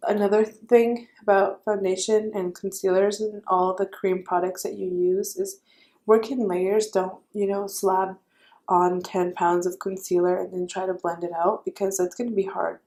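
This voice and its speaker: A young woman with a raspy voice giving a chatty makeup tutorial, correcting herself and offering friendly advice. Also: mid-range voice, level tone, a little slow, a little mellow. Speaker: beauty vlogger.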